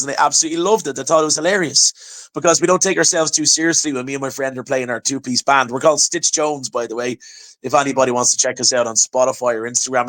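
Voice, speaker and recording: An Irish man chatting as a podcast guest, telling stories in an animated way and often restarting his words. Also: medium pitch. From Ireland. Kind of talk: casual chat.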